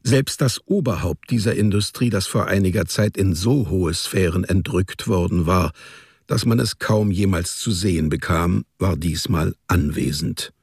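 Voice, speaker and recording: Male narrator, literary radio drama: precise, measured delivery, creaky and rough-edged, recorded close and dry. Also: low-pitched, no echo.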